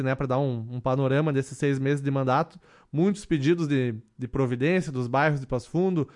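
Brief: man in his thirties, councilman guest on a radio show, steady, measured delivery with frequent fillers. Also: medium pitch. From southern Brazil. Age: 30s. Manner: measured, many fillers and restarts. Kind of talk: radio interview answers.

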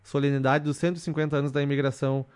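A man in his thirties, a councilman, answering questions in a radio interview in a measured way, with many fillers and restarts. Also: fairly high pitch, flat delivery, darkish tone, clear, resonant tone. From southern Brazil. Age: 30s. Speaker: city councilman, radio guest.

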